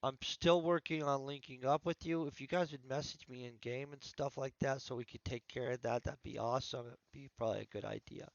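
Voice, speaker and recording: A man's voice: mid-range voice, fairly even intonation, brisk, vocal fry, few pauses, no echo, muffled recording. Congested man rambling on a livestream. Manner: rambling, thinking aloud with restarts. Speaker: author streaming his writing session. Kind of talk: casual livestream chat.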